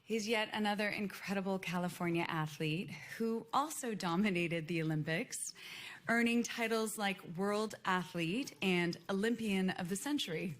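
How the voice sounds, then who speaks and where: Female ceremony presenter, mid-range voice, formal scripted introduction with announcer-style delivery. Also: mid-range voice.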